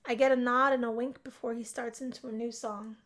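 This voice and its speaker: A woman reading first-person visual novel narration aloud as an expressive storyteller. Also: a little high, a little fast. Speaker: gaming video host, narrator.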